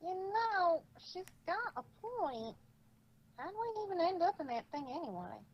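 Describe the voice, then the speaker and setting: A woman voicing an excitable young pony filly in a fan fiction reading. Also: very high voice, moderate pace, muffled recording, exaggerated voice. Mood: puzzled.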